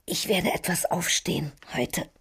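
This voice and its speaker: Frail tender mother, husky whispery voice, slow worried delivery, radio drama. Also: lowish voice, heavily acted. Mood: weak, tearful. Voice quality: husky, breathy.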